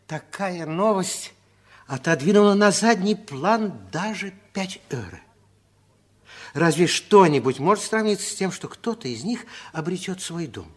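A man narrating a children's radio play in an expressive, deliberate 1960s storytelling style. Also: sits high.

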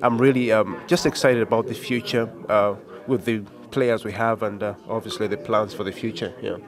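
A man's voice: lowish voice, steady tone, normal speed, a crowd in the background. A man in his thirties from Uganda with a Ugandan accent, a soccer coach answering interview questions hesitantly, full of fillers, into a handheld mic indoors. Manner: hesitant, many fillers and restarts. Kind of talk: casual interview. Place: indoor meeting room with a small crowd. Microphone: handheld mic held by the interviewer.

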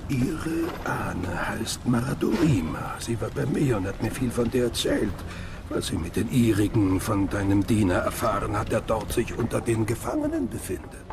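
An actor playing a stern, wary Kurdish village chieftain in a radio drama, speaking with deliberate authority. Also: male voice, lowish voice, big pitch swings, background noise. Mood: firm, measured.

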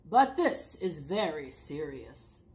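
A storybook chief frog played by a woman, grave and formal, a leader who picks his words slowly and expects to be obeyed. The pitch swings widely as he takes charge. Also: big pitch swings, darkish tone, exaggerated voice. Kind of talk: children's storybook read aloud.

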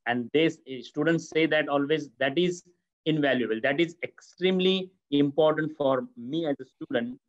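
A man's voice: fairly high pitch, normal speed. A middle-aged man answering questions on a video call from an office, speaking hesitantly with many fillers and restarts. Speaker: academic panelist. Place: office with city window. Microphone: laptop webcam mic on video call. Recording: muffled video-call audio.

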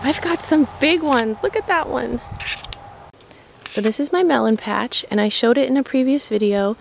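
A woman's voice: high voice, moderate pace, vocal fry, slight background noise, poor audio. A middle-aged woman giving a casual, upbeat tour of her backyard garden, talking to a handheld camera. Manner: chatty and upbeat, talking to the camera while working outdoors. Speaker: gardening vlogger. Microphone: handheld camera mic. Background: faint insects and crickets.

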